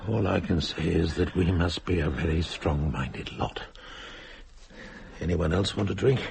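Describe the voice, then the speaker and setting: A man's voice: low-pitched, measured pace, gravelly, noisy background, heavily acted. An actor playing a level-headed, wry man taking charge in a radio horror play, decisive and articulate. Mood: resigned, wry.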